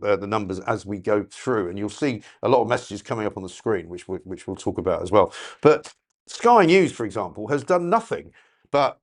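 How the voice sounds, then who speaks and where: A man hosting a breakfast talk show, chatting briskly with sardonic asides. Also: lowish voice. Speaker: radio talk show host. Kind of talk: casual breakfast show chat. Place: London studio.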